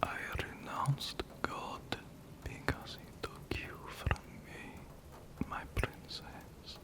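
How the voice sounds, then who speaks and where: A man voicing an ancient, courtly vampire count in a whispered ASMR roleplay, formal and solemn, possessive and lovelorn. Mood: yearning, devoted. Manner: slow, formal, archaic, solemn delivery.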